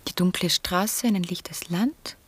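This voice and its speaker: Husky, brooding young lady. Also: fairly low pitch, a little slow. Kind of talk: 1960s German radio drama acting.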